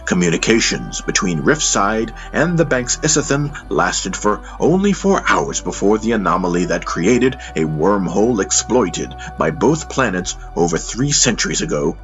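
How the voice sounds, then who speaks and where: A man reading dramatic scripted audiobook narration in a solemn, measured manner. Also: low-pitched, sing-song, a bit bright. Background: music under the voice.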